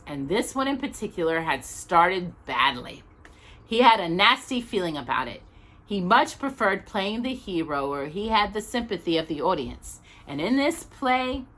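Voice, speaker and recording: Animated, bright-voiced woman storyteller. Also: lowish voice. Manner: expressive, emphatic. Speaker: storytime reader. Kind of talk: children's storybook narration.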